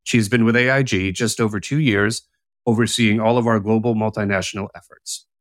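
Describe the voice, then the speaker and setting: A man hosting a podcast, reading his introductions and questions in a formal, measured way. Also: fairly low pitch, steady tone, dry recording. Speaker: podcast host. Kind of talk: scripted interview questions.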